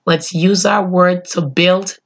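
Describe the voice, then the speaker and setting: A woman's voice: on the low side, steady tone. A middle-aged woman hosting a motivational solo podcast, earnest and emphatic, slightly nasal as she gets over being sick. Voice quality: a little nasal. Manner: earnest, emphatic, slows on key phrases. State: under the weather, voice not fully recovered. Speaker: podcast host.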